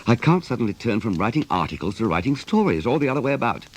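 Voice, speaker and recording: An actor playing a troubled middle-aged English writer in a radio play, wistful and rueful. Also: male voice, medium pitch, very animated, quick pace, a little hiss or hum, natural voice. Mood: wistful, frustrated. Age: 40s.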